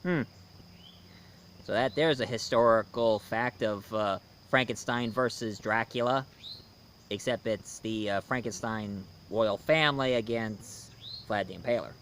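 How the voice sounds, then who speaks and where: A man hosting a casual, unscripted review, talking in a halting, rambling storyteller's way. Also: on the low side, fairly bright tone. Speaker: host, film and book reviewer. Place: outdoors. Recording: noisy outdoor recording with insects.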